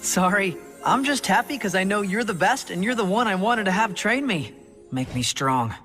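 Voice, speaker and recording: A man voicing an eager, excitable teenage boy fighter in an anime dub, bubbly and earnest. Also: sits high, moderate pace, soft background music. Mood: eager, excited.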